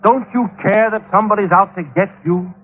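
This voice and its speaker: A man's voice: high-pitched, brisk, dull audio, close to an everyday voice. An actor playing an insurance company man who talks in an urgent, exasperated, insistent way, in 1950s radio drama style.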